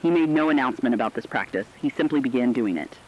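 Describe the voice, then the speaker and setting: A man playing a sombre radio correspondent in a disaster drama, with a mid-pitched voice. He speaks deliberately and earnestly, and the sound is dull and lo-fi. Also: animated, close to an everyday voice. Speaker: radio broadcast commentator. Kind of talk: scripted reflective radio commentary.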